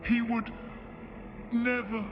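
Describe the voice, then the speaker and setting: A woman voicing an otherworldly angel in an eerie tutorial, giving dire warnings in a halting, commanding way. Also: fairly high pitch, little pitch movement, highs cut off, noisy background. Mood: solemn warning. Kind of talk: slow eerie instructional narration. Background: music, clearly heard.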